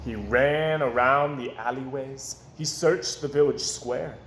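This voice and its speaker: A man reading a rhyming children's picture book aloud in a theatrical, expressive way. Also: medium pitch, slow pace. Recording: roomy echo, faint background noise.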